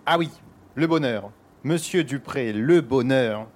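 An actor playing a bossy, blustering government minister in a satirical audio drama, talking in pompous slang. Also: male voice, mid-range voice, expressive, takes it slowly. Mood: smooth-talking, pompous. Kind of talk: French satirical audio fiction acting.

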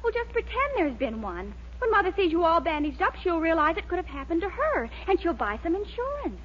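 Very high-pitched, scheming young American girlfriend. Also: sing-song, fairly quick, fairly bright tone, full character voice. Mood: coaxing, bright. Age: young adult. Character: eager, scheming young girlfriend, breezy and persistent. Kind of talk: 1940s radio situation comedy acting. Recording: muffled old radio recording, faint hiss.

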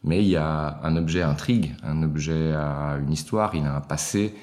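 A man in his forties with a very deep, low-pitched voice. He talks as an interview guest, reflective and unhurried, pausing to find the right word. His tone stays conversational and thoughtful. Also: darkish tone. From Switzerland. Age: 40s. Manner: reflective, searching for words. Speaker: guest, watch collector.

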